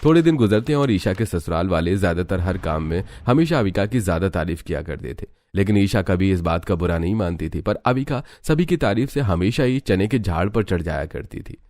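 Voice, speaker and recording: A man with a low-pitched voice narrates a cartoon family story. His delivery is scripted storytelling, laid out in full, connected sentences. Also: animated, brisk, hardly any pauses, darkish tone, no echo.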